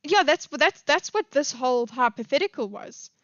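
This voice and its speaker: A woman's voice: sits high, animated, a bit bright, dry recording, dull audio. Chatty South African woman streamer. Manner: rambling, many fillers and restarts, quick laughs. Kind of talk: live stream commentary.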